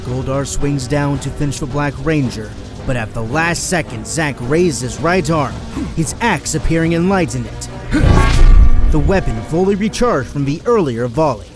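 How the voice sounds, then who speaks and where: A man's voice: fairly high pitch, lively intonation, moderate pace, loud music behind the voice. A man giving dramatic scripted narration as the narrator of an action audio drama.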